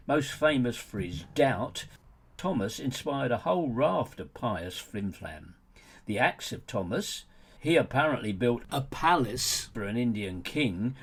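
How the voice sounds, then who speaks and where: A man's voice: medium pitch, slightly rough. A man reading his own book as scripted narration, in a sardonic, mocking way with wry rhetorical asides.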